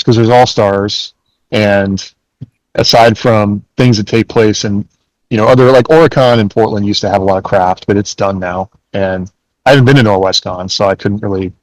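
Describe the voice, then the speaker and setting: A man's voice: on the low side, no room sound, low-quality recording. A man chatting casually as a co-host on a podcast.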